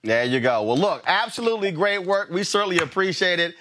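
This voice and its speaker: A man hosting an interview, talking in a halting way with many fillers and repeated words. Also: high-pitched, expressive, crowd noise. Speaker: interview host. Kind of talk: interview, casual chat.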